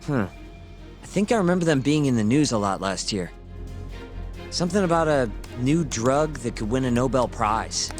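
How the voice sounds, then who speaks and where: A man's voice: medium pitch, animated, normal speed, faint music behind, close to an everyday voice. A man playing a cocky, teasing young guy. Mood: thoughtful. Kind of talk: video game cutscene voice acting.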